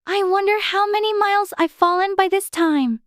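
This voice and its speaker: A woman's voice: very high voice, normal speed, dry recording, clean studio sound. A woman playing a curious, chatty little girl who muses aloud in an earnest, prim way for an audiobook. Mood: musing, curious. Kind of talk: dramatized audiobook character acting.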